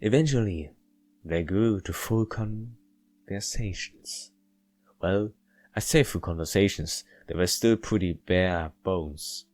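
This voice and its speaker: A man reading as an aloof, withdrawn male narrator of a visual novel, reflective and deadpan. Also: sits low, slow pace, soft background music.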